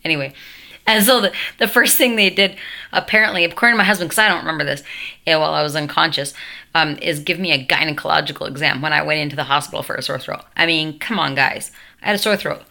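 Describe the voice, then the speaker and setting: A young woman with a low, gravelly voice that still has a bright, forward edge, left changed by a tracheotomy. She tells her story casually to the camera, circling back and trailing off with fillers and asides. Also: fast talker. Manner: rambling, self-interrupting, many fillers and asides. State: voice altered after a tracheotomy. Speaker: vlogger.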